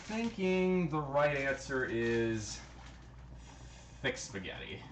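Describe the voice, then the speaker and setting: A man's voice: medium pitch, expressive, slow pace, background noise. A man chatting loosely to his stream chat while he cooks in his kitchen, swearing now and then and counting numbers aloud. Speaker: streamer cooking on a livestream. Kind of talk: casual live cooking chat. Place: home kitchen, indoors. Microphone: handheld phone camera.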